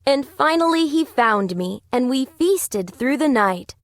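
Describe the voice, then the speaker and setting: A woman with a fairly high voice reads rhyming children's verse as narrator. Her pitch moves around a lot, her pace is unhurried, and the recording is dry. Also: expressive, dry recording. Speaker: storybook narrator. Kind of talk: rhyming children's read-aloud.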